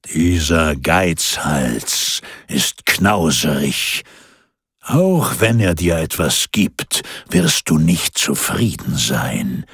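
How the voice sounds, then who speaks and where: A man voicing a giant, menacing male forest spirit who talks slowly in a deep, rumbling voice. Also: lively intonation, gravelly, fairly bright tone, slightly rough, no room sound, studio quality, full character voice. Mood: coaxing. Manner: deep, rumbling, slow and threatening.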